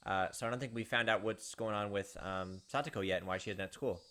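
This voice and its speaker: A man's voice: lowish voice, fast, a bit bright, slight background noise. A man hosting a let's play, chatting casually and reading the game's story text aloud.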